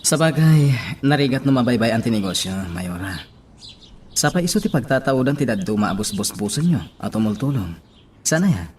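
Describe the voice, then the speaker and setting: A man playing a concerned, gently advising priest in a radio drama. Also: medium pitch, lively intonation, moderate pace, a little hiss or hum, lightly acted. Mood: conversational. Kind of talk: Ilocano radio drama acting.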